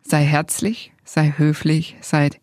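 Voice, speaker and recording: A woman's voice: sits low, completely flat delivery, slow pace, darkish tone, no echo. A woman co-hosting a podcast, talking conversationally as she interviews and comments. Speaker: podcast co-host.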